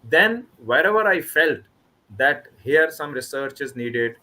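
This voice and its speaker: Indian guy from kashmir, bright tense voice, keeps restarting sentences, laid-back book club chat. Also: a little high, expressive. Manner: halting, with many restarts and repeated words. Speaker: author.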